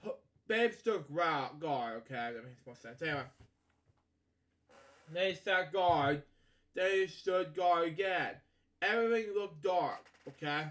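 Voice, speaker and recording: Guy w a pretty high voice reading a kids book real slow, trips on words, keeps wandering off into little comments. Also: animated, a bit bright. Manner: halting, stumbling over words, repeating himself; frequent filler catchphrases like "okay" and "you know". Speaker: storytelling host. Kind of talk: reading a children's bedtime story aloud with rambling asides.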